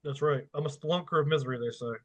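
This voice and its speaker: A man co-hosting a political podcast, brisk and self-deprecating as he gives practical advice. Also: a little high, no room sound. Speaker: political consultant and co-host. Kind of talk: casual podcast chat.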